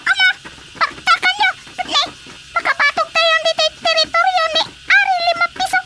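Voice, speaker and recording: An actor voicing a tiny, bossy creature of an underground kingdom in radio comedy, with a squeaky, childlike voice and a commanding manner. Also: steady tone, normal speed. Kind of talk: radio comedy drama acting. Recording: loud noise behind the voice.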